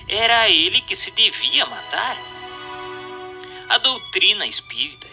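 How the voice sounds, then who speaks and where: A Brazilian man narrates from a script in a very bright, forceful voice that sits slightly high. His reading is formal and didactic, with lively, emphatic swings in intonation. Music plays beneath him. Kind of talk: scripted narration of a doctrinal text.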